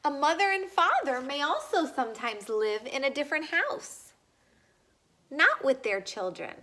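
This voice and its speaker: A woman reading a children's picture book aloud in a deliberate way, putting questions to young listeners. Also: high voice, sing-song, sharp tone. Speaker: read-aloud reader.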